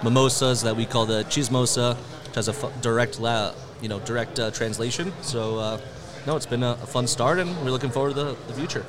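A man's voice: medium pitch, fairly quick. A man from a spirits brand speaks fluently and promotionally about the product. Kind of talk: polished pitch talk. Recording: outdoor crowd noise.

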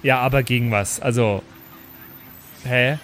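A man's voice: medium pitch, fairly even intonation, measured pace, fairly bright tone, a little hiss or hum. A man playing in an improvised tabletop role-play podcast, bantering casually in a joking, slangy way.

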